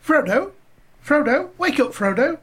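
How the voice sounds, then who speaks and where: A woman playing a weary, affectionate, fussing old hobbit with a husky voice in an amateur comic pantomime. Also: sits high, very animated, a little slow, a bit bright, exaggerated voice.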